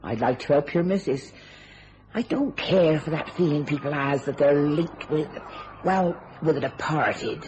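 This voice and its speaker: A woman's voice: deep voice, expressive, unhurried. A superstitious English charwoman in a radio thriller. Her folksy, working-class talk rises and falls freely, full of colourful phrases. There is faint noise behind her. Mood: earnest, confiding.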